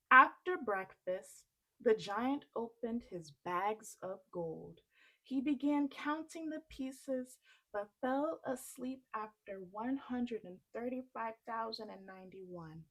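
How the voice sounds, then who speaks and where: Lady doing a storytime read aloud, highish voice, super expressive, takes her time. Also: takes it slowly, poor audio. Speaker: children's story reader.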